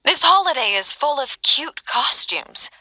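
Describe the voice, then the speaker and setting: A woman's voice: high-pitched, very animated, measured pace, bright, forceful tone, no room sound, heavily acted. A woman voicing a confident young woman in a video game. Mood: musing.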